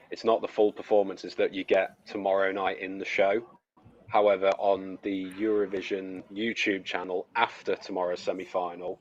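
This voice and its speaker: A man co-hosting, explaining things in a relaxed, hesitant way with many fillers. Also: low voice, highs cut off. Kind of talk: casual chat.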